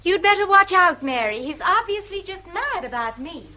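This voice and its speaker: Radio play: breezy, sociable English woman, forceful sharp tone, lively swoops, brisk and playful. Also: very high-pitched, heavily acted. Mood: teasing. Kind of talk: British radio drama acting.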